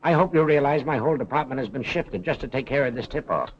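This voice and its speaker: Actor as blustering police commissioner, dull old film. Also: male voice, mid-range voice, lively intonation, fast talker, close to an everyday voice. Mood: irritated. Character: blustering, exasperated police commissioner, gruff and sarcastic. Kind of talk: 1930s film acting. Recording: dull, noisy 1930s film soundtrack.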